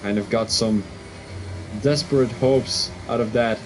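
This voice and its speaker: Belgian male race caller, Dutch native, eager, keeps revising his calls; home setup, noisy with a humming air conditioner. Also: medium pitch. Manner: excitable, quick to second-guess his own calls. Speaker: race commentator and streamer. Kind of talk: live sim racing commentary.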